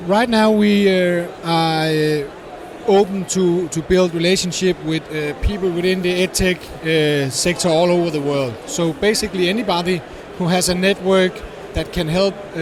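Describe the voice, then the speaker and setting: A man giving explanatory interview answers at a busy conference, halting and searching for words as a startup representative. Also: sits high, a crowd in the background. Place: busy tech conference venue. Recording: noisy event hall, steady din.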